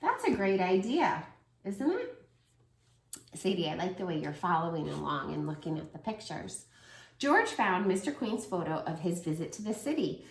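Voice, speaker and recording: A woman teacher reading a picture book aloud to a small child, lively and encouraging. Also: lowish voice, normal speed, roomy sound. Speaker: preschool teacher. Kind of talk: children's read-aloud lesson.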